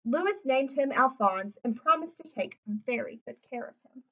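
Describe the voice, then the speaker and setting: Female storybook reader, higher-pitched, simple explanatory asides for kids, slightly dull lo-fi home recording. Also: expressive, moderate pace, sharp tone. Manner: explains simply, as to young children. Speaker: read-aloud host. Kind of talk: picture book read-aloud with chatty asides. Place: indoors at home, sitting on the floor.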